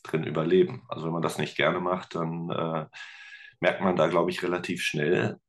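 Man, very low voice, middle-aged, easygoing, lots of ums and ers. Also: normal speed. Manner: relaxed, rambling with many fillers. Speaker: podcast guest, teacher and musician. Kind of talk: casual interview chat.

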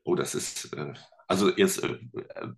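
A man's voice: deep voice, unhurried, gravelly. A man, a guest on a podcast, thinking aloud hesitantly and making jokes. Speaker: podcast guest, teacher and musician. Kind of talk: casual interview chat.

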